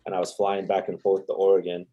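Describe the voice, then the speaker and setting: A man's voice: deep voice, monotone, fast, mellow. A young man, a podcast guest, telling his story in a rambling, casual way with lots of fillers. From El Salvador. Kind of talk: casual chat.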